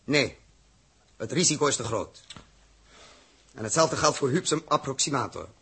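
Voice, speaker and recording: An actor playing a stern, rational spaceship commander in a 1960s radio drama, speaking deliberately and with authority. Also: male voice, mid-range voice, expressive, pushed and bright, breathy edge, heavily acted. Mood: stern, insistent.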